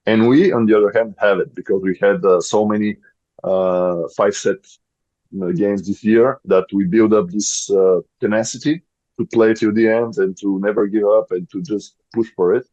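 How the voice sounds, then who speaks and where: A man in his thirties with a Bulgarian accent, a podcast guest speaking English as a second language and often pausing to search for words. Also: fairly low pitch, fairly even intonation, warm tone, dry recording, lo-fi sound. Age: 30s. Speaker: guest, volleyball player. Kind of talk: podcast interview.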